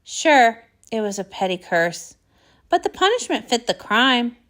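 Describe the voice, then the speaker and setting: A woman's voice: a little high, big pitch swings, a little slow, a bit bright. Woman putting on a grumpy old male wizard. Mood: rueful, grumbling. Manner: grumbling, defeatist.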